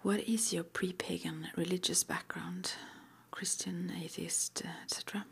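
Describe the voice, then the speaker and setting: A woman whispering an ASMR roleplay interview, playing a witch school interviewer with gentle, reassuring, hesitant phrasing. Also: lowish voice, steady tone, measured pace, vocal fry, slightly rough.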